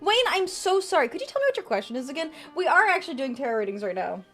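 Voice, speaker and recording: A woman streamer with a high voice that leaps up and down as she chats with her viewers and reads tarot. She laughs often, loses her train of thought and starts sentences over. Soft music plays faintly behind her. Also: sing-song, fast. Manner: chatty, answers chat aloud, laughs often, trails off and restarts. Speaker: VTuber streamer. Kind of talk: casual live-stream chat with tarot readings.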